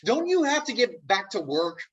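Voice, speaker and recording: A man's voice: sits high, big pitch swings, normal speed, a bit bright, breathy edge, lo-fi sound, exaggerated voice. A man playing an exasperated, reluctant straight man in an office comedy, read dryly and curtly over a video call. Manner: dry, curt. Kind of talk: comic stage script table read. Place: home, online video call.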